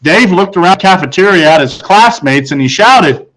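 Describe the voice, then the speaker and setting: A man who is a teacher, narrating a children's book aloud with expressive storytelling. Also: sits high, normal speed, low-quality recording.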